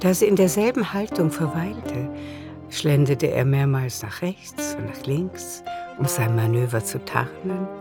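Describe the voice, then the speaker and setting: A woman narrating a literary radio play in the third person, with measured composure. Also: low-pitched, lively intonation, loud music behind the voice. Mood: composed.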